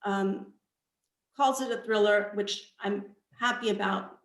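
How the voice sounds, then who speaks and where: A woman answers as a guest author on a panel over a video call. She speaks slowly and thoughtfully, often repeating a phrase as she goes. The sound is roomy, with clear echo around her voice. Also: mid-range voice, fairly even intonation. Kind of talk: panel interview answers about own book.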